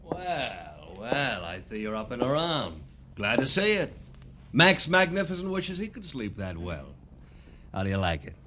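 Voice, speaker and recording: An actor playing a vain, dim, flamboyant wrestler, brash and slangy, in old American radio drama style. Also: male voice, medium pitch, very animated, measured pace, bright, forceful tone, heavily acted. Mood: boastful, preening. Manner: brash, self-admiring, slangy. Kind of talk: 1950s American radio crime drama acting.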